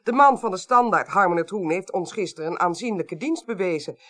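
Brisk, composed woman official. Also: on the low side, lively intonation, a bit bright. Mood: firm, matter-of-fact. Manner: polite, businesslike, direct. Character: brisk, composed female parliamentary clerk leading an inquiry. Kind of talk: Dutch radio drama acting.

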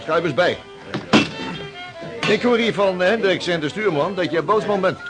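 An actor playing a brisk, businesslike ship's captain in a radio play. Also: male voice, high voice, loud music behind the voice. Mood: appraising. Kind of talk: lively Dutch radio play acting.

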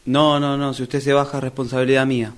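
Man acting a quick-talking unbending bus driver. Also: medium pitch, flat delivery, close to an everyday voice. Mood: firm, dismissive. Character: unbending, dismissive male bus driver refusing requests. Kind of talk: amateur radio drama acting. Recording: noisy amateur recording with vehicle ambience. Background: bus and vehicle ambience.

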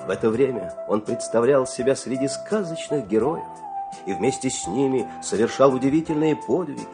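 Expressive male fairy-tale storyteller. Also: fairly low pitch. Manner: theatrical, expressive storytelling with dramatic pauses. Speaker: storyteller, narrator. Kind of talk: scripted fairy-tale narration for an audio play.

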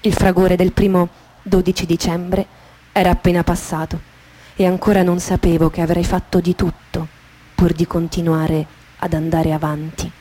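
A woman narrating a first-person literary monologue for radio theatre in measured, deliberate phrases. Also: fairly low pitch, flat delivery, dark tone. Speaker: actress narrator. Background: guitar music.

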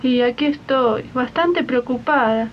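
A woman's voice: measured pace, slight background noise. A woman playing a timid, worried young maid in a 1980s community radio drama, meek and hesitant, with an Argentine accent. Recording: muffled, rumbly 1980s archive radio recording.

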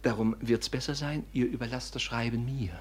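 An actor playing a scheming, envious younger son in a classical radio play, theatrical and declamatory, with an oily show of devotion. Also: male voice, mid-range voice, steady tone, a little fast, natural voice. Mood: urgent persuading. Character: scheming, envious younger son, falsely pious, coldly ambitious.

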